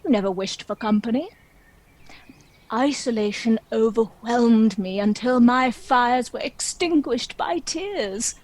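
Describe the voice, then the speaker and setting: A woman's voice: a little high, expressive, slow pace, darkish tone. A woman playing a proud, lonely, world-weary dragon in a comic play, with a theatrical, wry and indignant manner. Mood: wistful, confiding. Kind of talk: dramatic monologue in a comic play.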